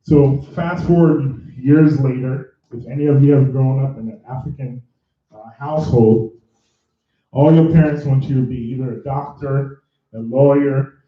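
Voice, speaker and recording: A man's voice: medium pitch, fairly even intonation, moderate pace. A man from Nigeria talks a live audience through a career workshop, restarting his sentences and laughing along with the room. He sounds distant from the microphone in a very echoey room. The recording is dull and muffled. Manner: chatty, self-correcting, laughs with the room. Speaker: career bootcamp host and mentor.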